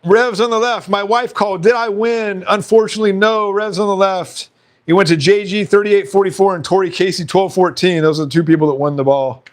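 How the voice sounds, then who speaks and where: A man hosting a casual live stream, chatting and reading out viewer comments with frequent laughs, his voice slightly hoarse. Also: high-pitched, steady tone, brisk.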